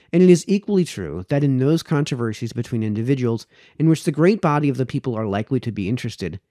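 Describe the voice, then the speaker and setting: Man in his thirties, animated pitch movement, careful essay reading, occasional stumbles, dry close-mic sound. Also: dry recording. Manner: careful, measured, stumbles over words and rereads them. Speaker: reader, host of a read-aloud series. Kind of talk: reading an old essay aloud. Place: small indoor home study. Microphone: close microphone on a boom arm.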